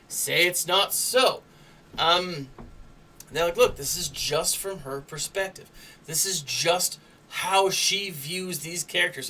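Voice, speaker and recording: A man's voice: high-pitched, pushed and bright, far from the mic. A man giving casual, opinionated commentary to viewers as a video host, conversational and emphatic, often checking in with a tagged-on "right?". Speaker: YouTube host and reviewer.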